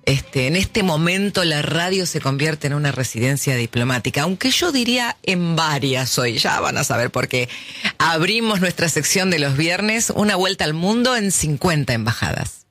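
A woman's voice: low-pitched, lively intonation, normal speed, a bit bright, faint music behind. A woman hosting a live radio interview, introducing and questioning a guest. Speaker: radio host.

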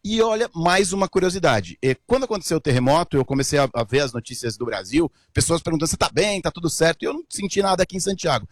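A man's voice: on the high side, big pitch swings, fast, hardly any pauses, no room sound, clean studio sound. A male correspondent delivering a news report from abroad, explaining things informally with frequent fillers.

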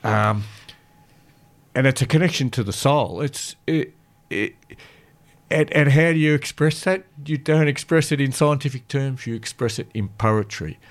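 A man who is a guest land carer, speaking earnestly in a radio studio, halting and restarting his sentences. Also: mid-range voice. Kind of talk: radio interview talk.

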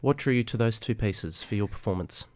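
A man's voice: lowish voice, a little fast, no echo. A man interviewing singers, polite and encouraging, with frequent ums and hesitations.

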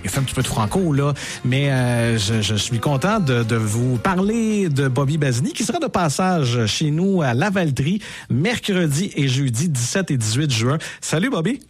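A Québécois man hosts a radio interview in a loose, chatty way. His mid-pitched voice has a husky grain. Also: very animated, normal speed, soft background music. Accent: Québécois French. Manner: casual, chatty questions. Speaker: radio host.

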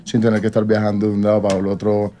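A man's voice: lowish voice, completely flat delivery, very fast, dark tone. A Colombian man in his twenties, a singer talking casually in an interview, rambling with slang and restarts. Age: 20s.

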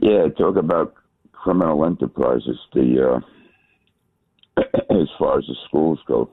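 A man phoning in to talk radio who rambles and stumbles over his words. His voice is very deep and gravelly. It comes through a tinny, dry phone line. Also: measured pace, no room sound. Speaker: caller. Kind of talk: phone-in chat.

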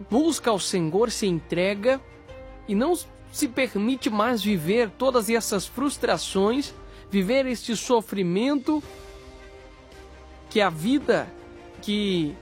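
A Brazilian man preaching an exhortation as a religious host, in solemn, deliberate phrases. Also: high voice. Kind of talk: sermon, exhortation. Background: background music.

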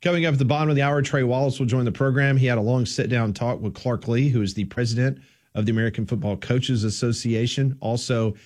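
A man's voice: mid-range voice, fairly even intonation, fast talker, a little mellow. A man hosting a sports talk radio show, easygoing and folksy, who also reads the sponsor ads himself. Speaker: radio host.

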